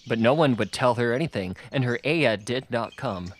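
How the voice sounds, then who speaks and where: A man's voice: mid-range voice, expressive, moderate pace. A man reading a children's novel aloud as scripted audiobook narration. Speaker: audiobook reader.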